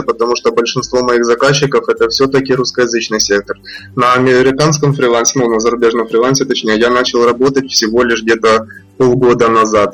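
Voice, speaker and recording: A man, a freelance translator, answering podcast interview questions in a measured, explanatory way with fillers and restarts. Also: mid-range voice, fairly bright tone, rough recording.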